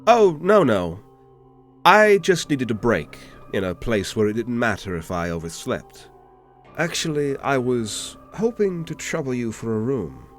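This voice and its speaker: Guy doing a fancy polite info broker, slow and theatrical, voice goes up and down a lot, fantasy audio rp. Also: medium pitch, sing-song, soft background music. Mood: courteous, weary. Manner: refined, theatrical, hesitant pauses. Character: refined, polite, world-weary male dealer of information. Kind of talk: fantasy audio roleplay acting.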